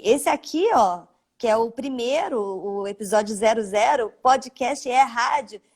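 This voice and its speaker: A woman's voice: high voice, darkish tone. A Brazilian woman interviewer chatting casually with her guest over a video call.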